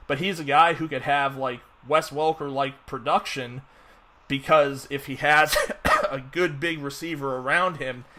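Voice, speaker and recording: A man's voice: medium pitch, bright, forceful tone. A man hosting a casual fantasy football podcast, rambling with lots of fillers and restarts. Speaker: podcast host. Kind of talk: casual sports podcast chat.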